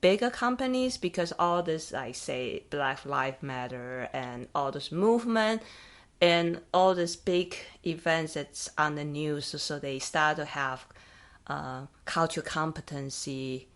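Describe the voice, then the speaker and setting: Woman, Taiwanese accent, low voice, podcast host, thoughtful questions, small grammar slips. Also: expressive, a little slow. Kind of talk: casual interview conversation.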